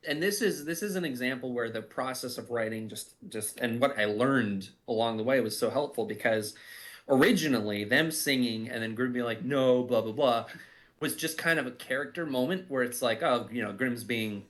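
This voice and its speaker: A man's voice: medium pitch, moderate pace, fairly bright tone. A man giving casual commentary as a writer, thoughtfully searching for his words. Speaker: audio drama writer giving cast commentary. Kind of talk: casual chat, production commentary.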